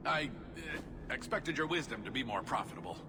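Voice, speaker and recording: A man's voice: medium pitch, expressive, fairly quick, noisy background, close to an everyday voice. A man voicing a brash, greedy dwarf merchant in a video game, talking in a cocky, blustering way. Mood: flustered.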